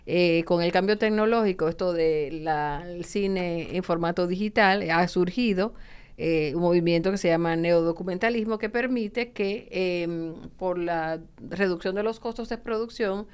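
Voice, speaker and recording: Woman, 60s, podcast host; smooth, resonant voice, hesitant delivery. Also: fairly low pitch, measured pace. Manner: hesitant, many filler sounds. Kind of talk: interviewing guests.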